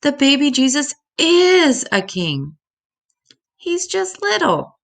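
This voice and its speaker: A tiny storybook star, voiced by a woman, earnest and tender-hearted. The voice is lifted far above her natural low register, small and high. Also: sing-song, slow, creaky, exaggerated voice. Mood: dawning wonder.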